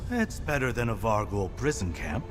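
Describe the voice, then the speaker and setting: A man playing a warm-hearted, grateful godsmith in a video game, expressive, friendly and philosophical. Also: on the low side, normal speed, music in the background. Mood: friendly, sincere. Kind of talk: video game cutscene acting.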